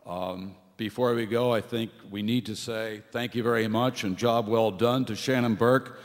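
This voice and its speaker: A middle-aged radio host emceeing on a theater stage at a stand mic, giving thanks in a halting way with fillers. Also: male voice, lowish voice, steady tone. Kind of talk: emcee thank-you remarks. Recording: reverberant hall with audience.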